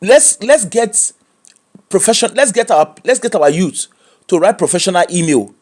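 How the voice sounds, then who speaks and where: Nigerian guy ranting on camera, high voice that jumps all over, drops into pidgin. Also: normal speed. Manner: animated, switches into pidgin and mimics people. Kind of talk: opinionated commentary.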